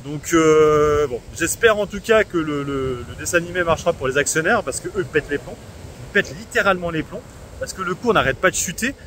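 A man's voice: a little high, lively intonation, a little fast, fairly bright tone, slight background noise. A young man chatting casually to a camera held at arm's length on a beach, full of filler words and asides. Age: young adult. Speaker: investing channel host. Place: sunny beach by a seawall, outdoors. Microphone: phone or camera held at arm's length.

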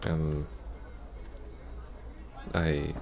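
A man playing an awkward, apologetic young ex-boyfriend in an ASMR roleplay, halting and stammering. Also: rumbling low, monotone, creaky, darkish tone, some chatter behind, a little hiss or hum, heavily acted. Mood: awkward, wistful. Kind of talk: ASMR roleplay, soft intimate acting.

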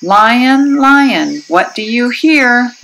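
A woman reading aloud to young children as a storyteller, in an expressive, singsong and emphatic manner. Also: on the high side, noisy background. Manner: singsong, deliberate, emphatic delivery. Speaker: children's read-aloud host. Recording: music behind the voice. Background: music.

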